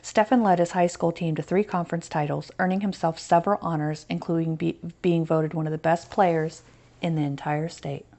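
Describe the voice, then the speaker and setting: A woman with an American accent reads a children's story aloud as a teacher. Her voice sits slightly low, and she moves between narration and explanatory asides that stress key points. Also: fairly even intonation, a little fast, mellow.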